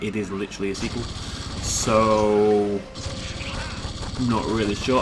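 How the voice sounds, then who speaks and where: A man's voice: fairly low pitch, fairly bright tone, slight background noise. Chatty man narrating gameplay. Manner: chatty, rambling, self-deprecating. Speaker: let's play host. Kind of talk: casual gameplay commentary.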